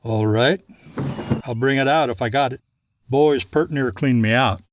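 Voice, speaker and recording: A man's voice: mid-range voice, a little slow, close to an everyday voice. A man playing a folksy, obliging 1890s frontier townsman in an audio drama, with rustic phrasing. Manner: folksy rural phrasing, dropped words. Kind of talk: scripted audio drama acting. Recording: clearly noisy background.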